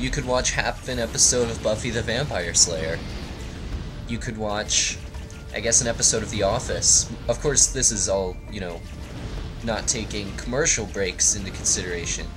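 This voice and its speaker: A man hosting a video game let's play, giving rambling, joking commentary in a deadpan, tongue-in-cheek, meandering way. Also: lowish voice, normal speed, music in the background.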